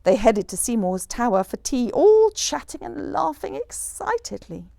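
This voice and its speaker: A woman reading a rhyming children's picture book aloud in an expressive, rhythmic storyteller's manner. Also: fairly high pitch, a little slow, vocal fry, dry recording. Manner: animated, rhythmic rhyming delivery.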